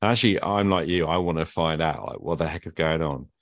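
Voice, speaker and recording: A British man with an extremely low voice chats informally and inquisitively. The sound is dry, with little room around it. He keeps checking in with his listener as he thinks aloud. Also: quick pace, gravelly, no room sound. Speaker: podcast conversation partner. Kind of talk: casual chat.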